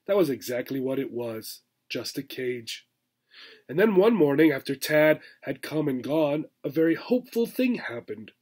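A man, reading a children's book aloud in expressive narration. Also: mid-range voice, husky. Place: small furnished room indoors.

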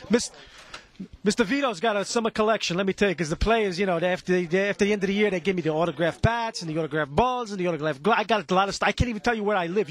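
Italian-american stadium vendor character, super high voice, rambly broken english, excited and chatty, improv comedy. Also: male voice. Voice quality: broken English with stray articles. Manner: rambling, repetitive catchphrases. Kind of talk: improvised comic character patter.

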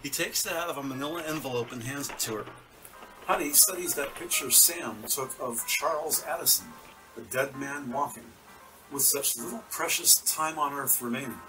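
A man narrates a scripted detective story in the present tense. His voice is mid-pitched and sits rather far from the mic, with guitar music clearly behind it. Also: moderate pace.

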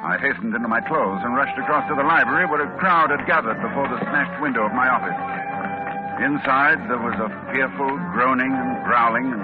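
A male actor playing a shaken, learned university doctor narrating a horror radio drama in a halting, dramatic manner. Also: medium pitch, lightly acted. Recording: muffled old radio recording with music.

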